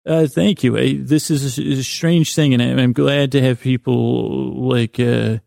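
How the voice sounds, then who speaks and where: A man with a deeply creaky, gravelly voice and a mellow, soft-edged tone rambles through a soothing bedtime monologue. His intonation stays flat and lulling as he halts, circles back and interrupts himself. The sound is close and dry, as a podcast host talking gently to listeners. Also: no echo. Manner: meandering, halting, self-interrupting.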